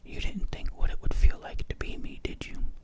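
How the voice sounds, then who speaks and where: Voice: normal speed, lightly acted. A storyteller whispering the part of a bitter, menacing male ex-policeman who sneers at the man who wrote him. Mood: bitter, sneering.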